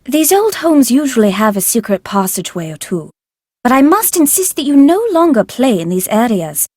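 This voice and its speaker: An actress playing a formal, secretive tutor at an old manor in a video game, with a prim, proper, measured manner. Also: female voice, on the high side, very animated, a little mellow, dry recording, clean studio sound. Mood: stern warning. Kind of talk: scripted video game voice acting.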